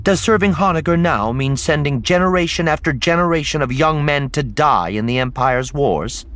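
A regal, defiant princess played by a man in an audiobook. The voice is lifted well above the reader's usual pitch, loud and declamatory, with animated formal phrasing. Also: normal speed.

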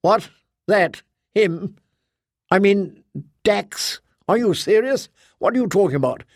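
A man voicing an impatient, irritable female official who talks in quick, incredulous bursts. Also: high voice, no echo, clean studio sound, voice pushed up, close to an everyday voice. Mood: exasperated.

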